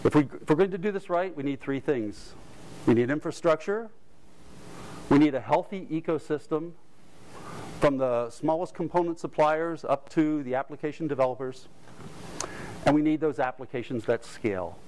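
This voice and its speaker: A man moderating a conference panel, speaking in a measured, formal manner as he makes announcements and introduces the panelists. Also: on the high side, darkish tone, slight background noise, low-quality recording. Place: conference hall with audience.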